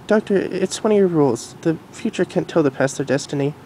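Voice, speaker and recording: A man voicing a gentle, knowing grown mare from the future in an amateur cartoon fan-fiction reading, calm and explanatory with a cute touch. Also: fairly high pitch, brisk, slight background noise, voice pushed up, lightly acted, poor audio. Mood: earnest.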